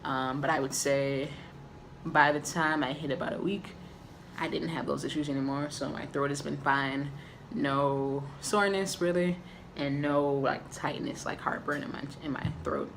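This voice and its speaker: A man giving a candid, casual personal update to camera, with a raspy voice and frequent filler ums. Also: on the high side, level tone, normal speed, a bit bright, slight background noise. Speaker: vlogger.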